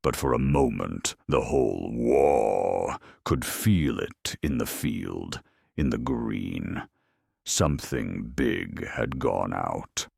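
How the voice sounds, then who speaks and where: A man narrating a grimdark war story in a grave, measured, dramatic way with wry deadpan humour. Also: very deep voice, gravelly, slightly rough, dry recording, clean studio sound.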